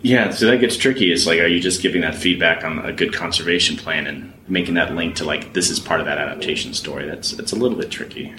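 A man's voice: deep voice, quick pace, few pauses, sharp tone, reverberant, slight background noise. A man hosting a podcast, chatting casually and thinking aloud. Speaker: podcast host.

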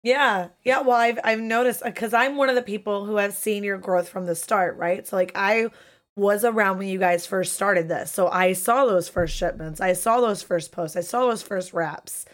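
A woman in her thirties hosting a live-streamed chat show, hoarse from losing her voice, talking casually and swearing freely. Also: medium pitch, moderate pace. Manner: profane, chatty, animated. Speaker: podcast host.

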